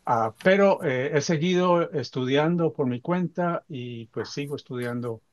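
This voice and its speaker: A man's voice: fairly high pitch, measured pace, slight background noise. A man in his seventies from Costa Rica introducing himself on a video call, rambling with many hesitations. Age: 70s. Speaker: seminar participant. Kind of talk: casual self-introduction.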